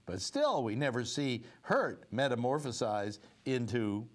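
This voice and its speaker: A man's voice: mid-range voice, sing-song, unhurried. An older man hosting a talk show from a studio set, chatting in a wry, rambling way with many fillers. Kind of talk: casual talk show banter.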